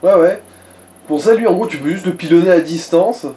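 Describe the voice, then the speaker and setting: A man's voice: high voice, brisk, warm tone. A man giving casual, wry, slangy commentary while gaming with a partner. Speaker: let's player and co-commentator. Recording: echoey room with faint noise.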